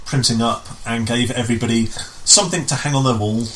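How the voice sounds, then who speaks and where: A man's voice: mid-range voice, fairly even intonation, a little fast, a bit bright, slight background noise, poor audio. A man guest chatting in a radio interview, explaining things and rephrasing as he goes. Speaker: guest, amateur pantomime director. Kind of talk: casual radio interview chat.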